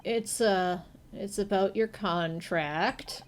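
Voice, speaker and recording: A woman voicing an awkward, apologetic male TV showrunner with halting, evasive speech in a game read-aloud. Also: mid-range voice, slight background noise, lightly acted. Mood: uneasy. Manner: hesitant, halting. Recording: music behind the voice.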